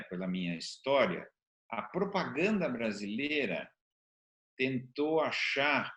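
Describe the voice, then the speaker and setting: Bright-voiced Brazilian man, roomy echo. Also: medium pitch. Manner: halting, reflective, thinking aloud. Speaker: interviewer with an advertising background. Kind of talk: conversational interview. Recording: roomy, echoing sound.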